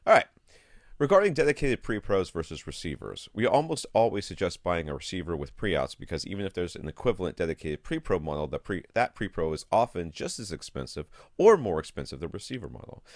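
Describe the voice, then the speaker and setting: A man's voice: low voice, no room sound, studio quality. A man co-hosting a podcast in a casual, informal and blunt way, sometimes reading out listener questions. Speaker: podcast co-host.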